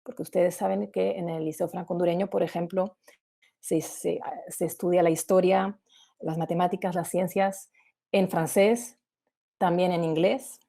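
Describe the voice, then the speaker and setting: Female webinar presenter, warm dark-toned voice, didactic explanatory delivery built around examples. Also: fairly low pitch, steady tone, moderate pace, creaky.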